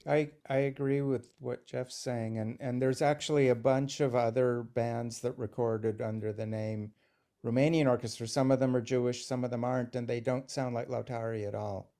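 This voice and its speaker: Male panelist, gravelly and mellow, low-key delivery over a call. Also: steady tone, moderate pace. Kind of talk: casual panel discussion.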